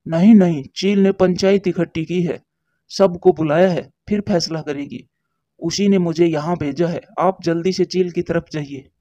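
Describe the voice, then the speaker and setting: A woman's voice: on the low side, fairly even intonation, normal speed, mellow, no echo, close to an everyday voice. A woman voicing a matter-of-fact bird messenger in a cartoon, plain and urgent, in a dull lo-fi recording.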